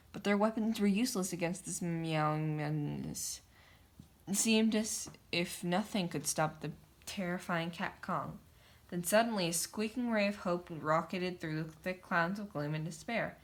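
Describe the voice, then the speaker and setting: A woman's voice: lowish voice, moderate pace. A woman reading a children's picture book aloud, narrating in a theatrical, emphatic way. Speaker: storybook reader. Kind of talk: dramatic children's story narration.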